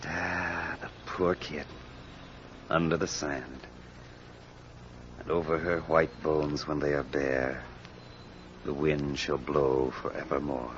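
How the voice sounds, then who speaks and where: A man playing a sharp, commanding detective in an old-time radio mystery, with a deliberate, theatrical way of speaking. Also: extremely low pitch, flat delivery. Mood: somber. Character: sharp, commanding male detective solving a murder. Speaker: actor in a radio crime drama. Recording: muffled, noisy old radio recording.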